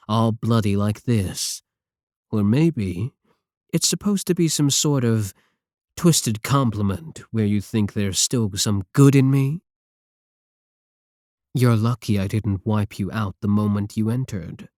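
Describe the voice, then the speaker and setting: A man's voice: fairly low pitch, darkish tone, no echo. A man playing an arrogant, mocking villain scientist in an ASMR roleplay, talking close to the mic in a sarcastic, condescending way. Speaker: voice actor in audio roleplay.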